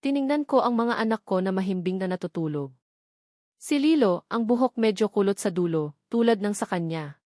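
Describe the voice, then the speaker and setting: A woman with a Filipino accent reading a first-person dramatic love story in an emotive way, with short deliberate phrases. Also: medium pitch, lively intonation, a little mellow, no room sound, studio quality. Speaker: story narrator.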